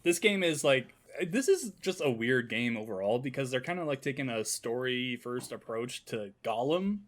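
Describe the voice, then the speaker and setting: A man with a medium-pitched voice talks casually on a gaming podcast. He comes across as an enthusiastic fan giving his opinions as a panelist. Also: very animated, moderate pace.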